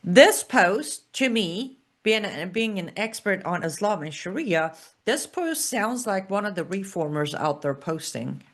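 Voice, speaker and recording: A woman's voice: mid-range voice, lively intonation, a little slow. A woman from Iran, hosting her own political show in an emphatic, sarcastic opinion monologue. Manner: emphatic, sarcastic, punchy repeated phrases. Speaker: political commentator, show host.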